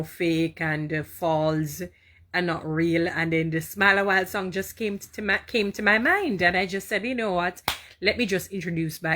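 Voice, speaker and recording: Chatty Jamaican woman, lowish voice. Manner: warm, chatty, repeats herself, reads and answers viewer comments. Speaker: live-stream host. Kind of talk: casual inspirational live talk.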